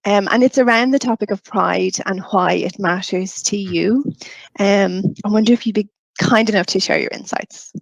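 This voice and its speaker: A woman moderates a corporate panel, polite and formal. Her voice has a gravelly fry, and the sound is dry and close. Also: mid-range voice, normal speed, no room sound, rough recording.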